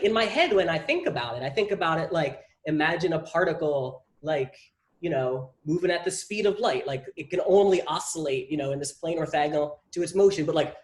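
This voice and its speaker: A young man with a South Jersey accent, casually explaining physics at a meetup, rambling with many fillers. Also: a little high. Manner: rambling, heavy use of "like" fillers. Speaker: meetup presenter.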